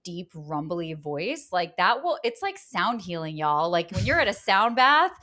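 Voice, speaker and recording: A woman's voice: lowish voice, sing-song, measured pace, pushed and bright. A woman from Atlanta, Georgia, talking frankly and expressively as a guest on a podcast, often laughing. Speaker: guest, producer. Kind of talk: candid podcast conversation.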